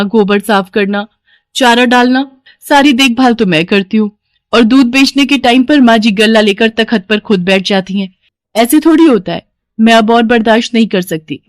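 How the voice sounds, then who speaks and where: Woman voicing a higher-pitched, whining young wife. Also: no echo, clean studio sound, natural voice. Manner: complaining, insistent. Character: scheming, shrill young city daughter-in-law, demanding. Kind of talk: Hindi cartoon moral story acting.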